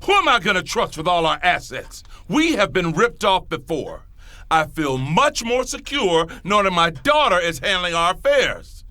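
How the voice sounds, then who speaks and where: A man's voice: sits high, very animated, normal speed, pushed and bright, no room sound, exaggerated voice. A man playing a stern, middle-aged, domineering father of a wealthy family in an audio drama, with a commanding, emphatic delivery. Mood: indignant. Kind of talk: dramatized audio fiction acting.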